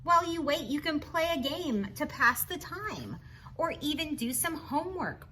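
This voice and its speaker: High, bright woman reading to children. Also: normal speed, a little hiss or hum. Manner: teacherly, encouraging, expressive, asks the listener questions. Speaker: storybook reader for children. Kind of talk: children's read-aloud with chatty asides.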